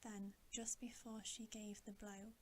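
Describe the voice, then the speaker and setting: A woman reading a bedtime story in a hushed, breathy, deliberate ASMR whisper, close to the microphone. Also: medium pitch. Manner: hushed, unhurried, softly deliberate. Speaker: ASMR storybook reader and host. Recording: steady audible hiss.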